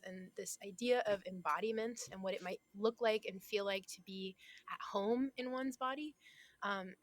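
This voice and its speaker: A young adult woman from Florida, talking as a guest artist in a casual interview, thoughtful, with many pauses and soft laughs. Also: medium pitch, dry recording.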